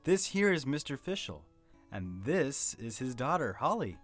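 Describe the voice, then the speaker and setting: A man's voice: medium pitch, very animated, a little slow, faint music behind. A man playing a courteous, formal, doting father in a horror radio drama. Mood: genial. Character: genteel doting father, courteous businessman host.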